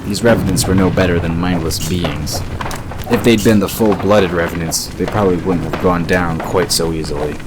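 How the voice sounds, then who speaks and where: A man's voice: sits low, fairly even intonation, normal speed, heavy background noise. A man reading scripted narration for a fantasy audio drama, over music and effects.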